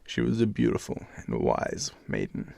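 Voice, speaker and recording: A man's voice: on the low side, wildly swooping pitch, moderate pace, gravelly, warm tone, no echo. A man with an Australian accent narrating a bedtime fairytale expressively, as a storyteller. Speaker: storyteller, channel host.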